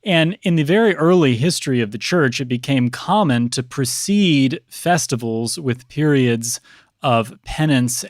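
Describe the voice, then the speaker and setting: Man, priest as podcast guest, mid-pitched, dry close sound, scholarly, measured explaining. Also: dry recording. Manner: measured, scholarly, precise wording. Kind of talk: conversational explanation of religious customs.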